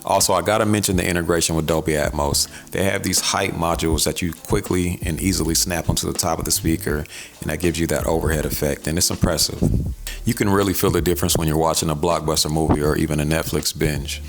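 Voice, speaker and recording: A man's voice: very deep voice, steady tone, fast. An American man hosting a casual product review, speaking in a conversational, colloquial way. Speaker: audio gear reviewer and host.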